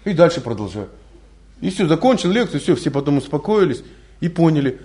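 A man who is not a native Russian speaker giving a spiritual lecture, full of rhetorical questions and lively storytelling. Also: fairly high pitch, fairly quick. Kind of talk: recorded religious lecture.

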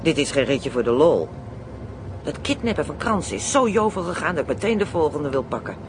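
A boastful, playful crook in a radio play. The voice is on the high side, slangy and excitable. Clear vehicle noise rumbles underneath. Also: male voice, brisk. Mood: eager, brash. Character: brash, greedy, playful Amsterdam criminal plotting kidnappings.

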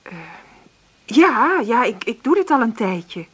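An actress playing a nervous, polite, hard-up job seeker in a radio play, hesitant and well-spoken, with a husky voice. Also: female voice, on the high side, big pitch swings, takes it slowly, heavily acted. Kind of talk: radio play dialogue and monologue.

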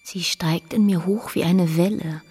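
A woman's voice: lowish voice, steady tone, unhurried, mellow, slightly rough, faint music behind. A woman acting in a scripted audio drama as a factory worker consumed by rage, narrating with intense deliberation. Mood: simmering rage.

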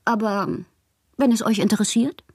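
An actress playing a passionate, capricious bohemian woman in a literary radio drama, theatrical and intimate, with a breathy voice. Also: a little high, fairly even intonation, moderate pace, darkish tone, no echo, studio quality. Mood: playful, confiding. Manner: theatrical, intimate, often dropping to breathy murmurs. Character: dreamy, passionate, capricious bohemian woman writing love-struck letters.

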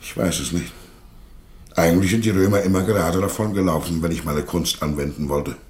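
A male actor plays a Germanic warlord prince in a radio drama, with a low voice. He sounds proud and earnest, given to pompous, declamatory phrasing with a reluctant streak. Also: brisk, darkish tone, natural voice. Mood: regretful resolve. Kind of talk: theatrical radio play dialogue.

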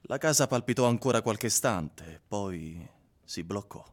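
An actor playing a haunted man recounting his ordeal in a measured, theatrical literary radio reading. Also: fairly low pitch, expressive. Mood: grave, recounting.

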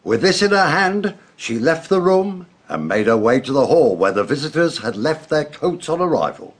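Radio drama: dutiful British chief inspector, official diction, husky, lively intonation. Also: male voice, on the high side. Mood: formal, reporting. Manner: official, measured report-giving. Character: formal, dutiful male police chief inspector.

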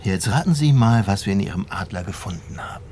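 A man's voice: fairly low pitch, lively intonation, a little mellow, slight background noise. An actor playing a smug, authoritative police major who needles and mocks as he interrogates in a radio drama. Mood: taunting, stern. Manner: mocking, deliberate, needling. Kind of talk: naturalistic radio drama acting.

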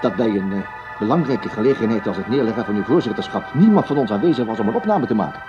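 An actor playing a flustered, fawning broadcasting boss in a radio play, stammering and turning snappish with orders. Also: male voice, on the low side, expressive, fast, muffled recording, music in the background, lightly acted. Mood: fawning, apologetic. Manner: stammering and obsequious, snapping when giving orders. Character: flustered, fawning male broadcasting boss who barks at staff.

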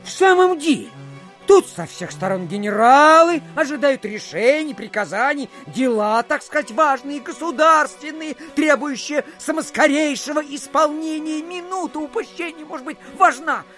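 An actor playing a chatty provincial official who spins a folksy, rambling tale in an audio play, full of filler phrases. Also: male voice, extremely high pitch, animated, moderate pace, pushed and bright, faint music behind, heavily acted. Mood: mock-grave, indignant. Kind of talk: theatrical storytelling in an audio play.

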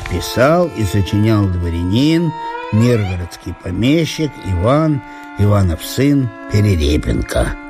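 An actor playing a pompous, indignant provincial landowner in a solemn audio play, speaking in florid officialese. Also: male voice, lowish voice, big pitch swings, measured pace, over music. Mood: solemn accusation. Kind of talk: theatrical audio-play monologue.

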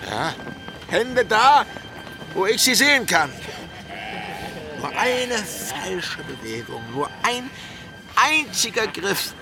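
An actor playing a brash, bossy pirate in a German radio drama, drawing out words with mocking menace. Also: male voice, high voice, very animated, slow pace, pauses often, heavily acted. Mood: menacing, gloating. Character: brash, bossy pirate holding captives at gunpoint. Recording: radio play with loud music.

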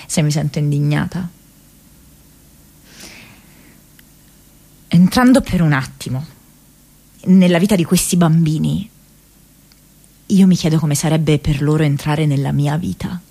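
An earnest, troubled young woman activist speaks her diary as intimate radio theatre. Her voice sits a little low for a woman. She halts and searches for words as she goes. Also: gravelly, warm tone, slightly rough, exaggerated voice. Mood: shaken, reflective. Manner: hesitant, halting, searching for words.

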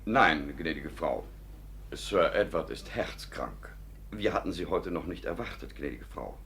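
An actor playing a reserved manservant in a 1950s German radio play, speaking formally and deferentially. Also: male voice, a bass voice, expressive, a little slow, gravelly, a bit bright, full character voice.

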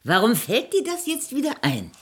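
An actress voicing a drink-worn, loyal maidservant in a German radio play, plaintive and full of reproach. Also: female voice, on the high side, wildly swooping pitch, normal speed. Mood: reproachful.

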